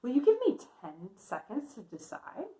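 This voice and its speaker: A woman voicing an eager, slightly nervous schoolgirl in a children's storybook, with expressive, emphatic delivery. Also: sits high, moderate pace, pitched above the performer's own voice. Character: eager, nervous schoolgirl Amelia narrating her own story. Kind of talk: animated children's storybook reading. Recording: echoey room sound.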